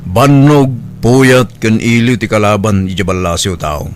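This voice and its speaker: A man's voice: fairly low pitch, unhurried, lightly acted. A man playing a stern, protective uncle in a radio drama, with a Filipino accent and a lecturing, reproving manner. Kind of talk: Ilocano radio drama acting.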